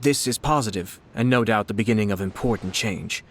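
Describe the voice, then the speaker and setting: An actor playing a composed, courteous young noble commissioner, speaking in a measured, polite and gently probing way. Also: male voice, on the low side, natural voice. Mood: cautioning. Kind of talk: game dialogue voice acting.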